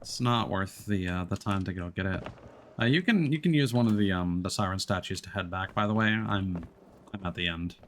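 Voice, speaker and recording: Streamer, male, low and gravelly, offhand gaming chatter, faint hiss. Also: a little fast. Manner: relaxed, mumbling asides to himself and a co-player. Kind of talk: casual gameplay chat.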